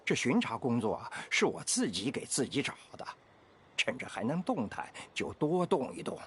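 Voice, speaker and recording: An actor playing a kindly, steadfast old veteran knight in a video game, with an aged, gravelly voice and an expressive manner. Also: male voice, mid-range voice, normal speed, a little hiss or hum, lightly acted. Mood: genial. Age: older. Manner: expressive, sighing. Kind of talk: Chinese video game voice acting. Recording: music behind the voice.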